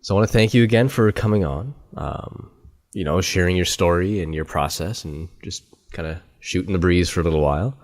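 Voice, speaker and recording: A man hosting a podcast, chatting in a relaxed, upbeat way with his guest and wrapping up the show. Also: sits low, fairly quick, dry recording, studio quality. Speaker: podcast host. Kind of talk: casual interview and outro.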